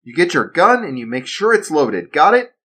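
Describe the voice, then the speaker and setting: A man's voice: on the high side, big pitch swings, normal speed, pushed and bright, voice pushed up, exaggerated voice. A man voicing a blunt protective father. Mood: reassuring. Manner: reassuring to his son, defiant to enemies. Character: protective retired assassin father, steady and blunt. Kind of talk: amateur storytelling.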